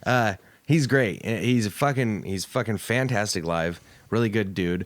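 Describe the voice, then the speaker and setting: Male podcast host, low register, crude joking chat, close dry sound. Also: moderate pace, no room sound.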